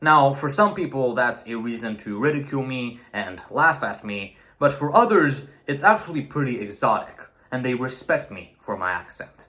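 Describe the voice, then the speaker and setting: Young Romanian-native man, middle pitch, candid video-essay monologue, swears for emphasis. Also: lively intonation, normal speed, few pauses, sharp tone. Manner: earnest and blunt, swears for emphasis. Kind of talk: opinionated monologue to camera.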